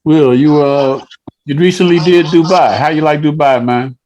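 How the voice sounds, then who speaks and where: A man's voice: on the high side, normal speed, darkish tone, highs cut off, a little hiss or hum. A man hosting a casual music interview on a video call, talking like a knowledgeable fan. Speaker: radio show host.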